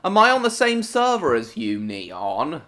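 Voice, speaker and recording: A man's voice: fairly high pitch, wildly swooping pitch, unhurried, bright, forceful tone. A man from Hong Kong chatting casually on a live stream while gaming, answering viewers by name and restating his points. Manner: answers viewers by name, rambling and repeating himself; bursts into swearing at game mishaps.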